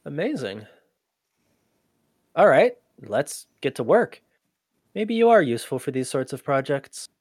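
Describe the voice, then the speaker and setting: An actor playing an inquisitive human archivist in an audio drama, with a conversational, halting, often exasperated manner. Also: medium pitch, big pitch swings, breathy edge, no echo, clean studio sound. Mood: hesitant, focused. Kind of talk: scripted audio drama acting.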